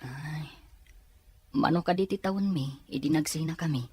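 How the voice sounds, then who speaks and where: A woman's voice: low-pitched, moderate pace, a little mellow, slightly rough. A woman playing a yearning grown twin with a Filipino accent in an audio drama. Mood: questioning. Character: yearning grown twin questioning their mother. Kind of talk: Ilocano audio drama acting.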